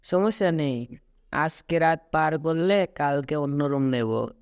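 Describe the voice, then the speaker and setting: A man's voice: on the high side, takes it slowly, smooth, dry recording. A man playing an easygoing young traveller who shrugs off worries in a ghost-story cartoon. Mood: unbothered. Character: easygoing young male traveller, unbothered by spooky rooms.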